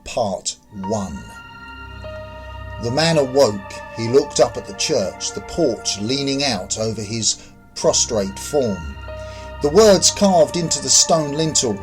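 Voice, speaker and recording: A man's voice: medium pitch, poor audio. A man reading a scripted short story as its author and narrator.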